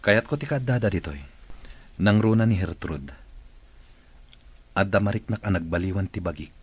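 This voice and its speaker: A solemn, ailing Ilocano Filipino boss acted by a man in a radio drama. His voice is very low and rough-edged, the voice of an employer set on getting well. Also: normal speed. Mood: earnest, hopeful. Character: ailing male boss, solemn, longing to recover.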